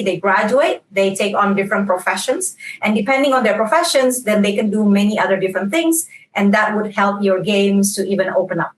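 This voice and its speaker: A woman from Indonesia, a game designer, talking casually as a panel guest, often restarting words and laughing easily. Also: mid-range voice. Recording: echoey and distant with faint noise.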